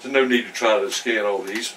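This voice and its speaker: Guy reviewing gear casually, sharp bright voice, halting and rambly, bit of background noise. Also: lowish voice. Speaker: product reviewer. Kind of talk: casual hands-on product demonstration. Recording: distant mic with faint background noise.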